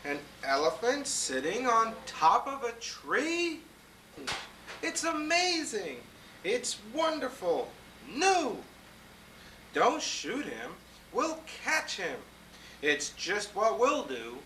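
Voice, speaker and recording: Shouting, greedy hunters from a storybook, voiced by a man, lively and high, distant roomy mic. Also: takes it slowly, a little hiss or hum, full character voice. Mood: excited. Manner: shouting, excited. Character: three excited greedy male hunters.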